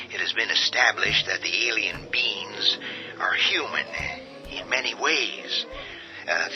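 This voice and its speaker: A man playing a president addressing the nation over the radio in a radio drama, hushed and hesitant, with many pauses. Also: on the low side. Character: halting, grave male president addressing the nation. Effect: radio broadcast sound. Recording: dull lo-fi audio with faint music.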